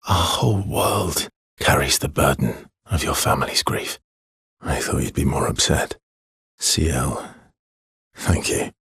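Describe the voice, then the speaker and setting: An actor voicing a weary, gentle male adventurer in a video game, with a husky, breathy voice and measured, understated phrasing. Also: extremely low pitch, dry recording, studio quality, exaggerated voice. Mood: earnest, regretful. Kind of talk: video game dialogue lines.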